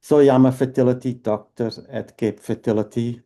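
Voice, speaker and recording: Belgian guy, 50s, doctor explaining stuff slowly, kinda gravelly dark voice. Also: mid-range voice, fairly even intonation. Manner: unhurried, explains step by step with tag questions. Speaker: fertility doctor. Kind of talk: explanatory interview talk.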